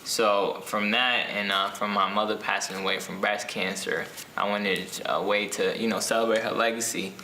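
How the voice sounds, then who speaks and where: Bright-voiced young man with many ums. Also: lowish voice, steady tone, normal speed, few pauses, reverberant, a little hiss or hum, rough recording. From Ethiopia. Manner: hesitant, many ums and restarts. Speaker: author being interviewed. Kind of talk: casual interview answers.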